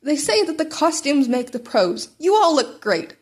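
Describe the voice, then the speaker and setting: A woman's voice: high-pitched, very animated, normal speed, pitched above the performer's own voice. A woman voicing a confident male superhero teacher who announces instructions encouragingly in a fan fiction audiobook. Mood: upbeat, announcing.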